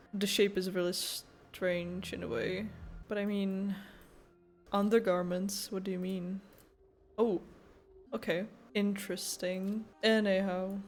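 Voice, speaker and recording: A woman's voice: mid-range voice, little pitch movement, takes it slowly, faint music behind. A young woman doing informal, rambling playthrough commentary in a casual, giggly way. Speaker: gaming video creator.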